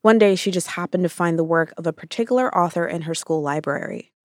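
An American woman hosting a podcast, narrating in a calm, clear way. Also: lowish voice, fast talker, no echo. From United States. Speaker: podcast host. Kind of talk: scripted narration.

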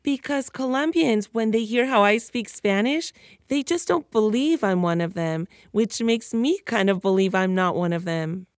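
A woman's voice: mid-range voice, moderate pace, no room sound, clean studio sound. A woman, a reporter narrating a podcast story in a candid, personal way. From United States (Miami). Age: 30s.

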